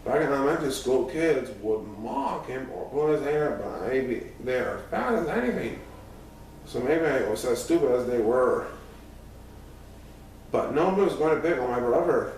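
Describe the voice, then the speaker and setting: A man's voice: medium pitch, a little mellow. Echoey, distant man reading a story. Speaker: audiobook reader. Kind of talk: first-person scripted narration of a youth story. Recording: very echoey room, distant mic, faint noise.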